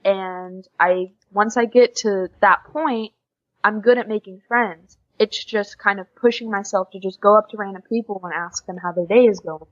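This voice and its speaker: Young American woman, informal and chatty interview guest, mid-pitched voice, slightly muffled lo-fi sound. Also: steady tone, moderate pace. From USA. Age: young adult. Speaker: podcast guest, exchange student. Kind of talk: casual interview chat.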